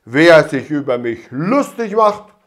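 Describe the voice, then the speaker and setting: A man voicing a pompous, hot-tempered village policeman who blusters and shouts officiously. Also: fairly high pitch, big pitch swings, slow, full character voice. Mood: furious.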